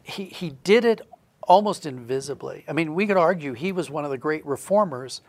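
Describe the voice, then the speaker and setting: Higher-pitched man with a creaky voice. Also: lively intonation, brisk, darkish tone, no room sound. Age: middle-aged. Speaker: guest, audio drama writer. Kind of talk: reflective conversational interview talk.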